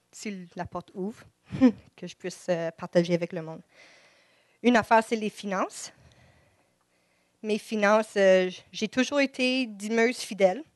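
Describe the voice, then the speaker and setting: Quebecoise woman, English first language, nervous church testimony, mid-pitched, dry close sound. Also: no room sound. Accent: Quebec French. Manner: halting, shy, many restarts. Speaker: church member giving testimony. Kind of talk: personal faith testimony. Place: church service. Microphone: microphone before an audience.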